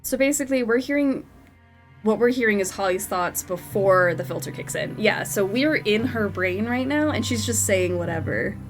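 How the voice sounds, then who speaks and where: A woman's voice: medium pitch, lively intonation, normal speed, soft background music. A woman streaming a horror game, chatting casually with her viewers and laughing often, her nose stuffed up from a cold. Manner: chatty, giggly, reads in-game notes aloud. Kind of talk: casual live-stream commentary.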